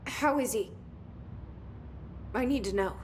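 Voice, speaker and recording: A woman's voice: a little high, takes it slowly, slight background noise. An actress playing a guarded, determined young woman in a video game, with naturalistic delivery. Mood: urgent, worried. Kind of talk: naturalistic game acting with inner monologue.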